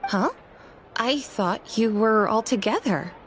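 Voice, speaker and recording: A woman's voice: fairly high pitch, lively intonation, takes it slowly, heavy background noise. A woman voicing a thoughtful, helpful shop assistant in a video game.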